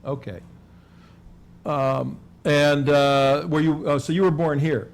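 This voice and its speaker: A man hosting a casual interview, prompting his guest quickly and loosely. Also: on the high side, level tone, vocal fry. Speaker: show host.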